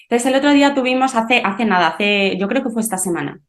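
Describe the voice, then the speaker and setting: A woman's voice: on the high side, fast, roomy sound. A woman from Madrid with a Madrid Spanish accent, an English teacher telling classroom stories as a podcast guest, often restarting her sentences. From Madrid, Spain. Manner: animated storyteller with frequent restarts. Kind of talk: casual podcast conversation.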